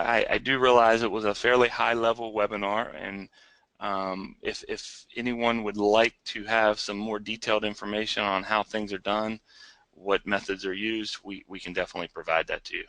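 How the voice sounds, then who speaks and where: A man giving an unscripted technical webinar presentation in a hesitant, halting way, often repeating words. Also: fairly low pitch, bright, forceful tone, no room sound, rough recording.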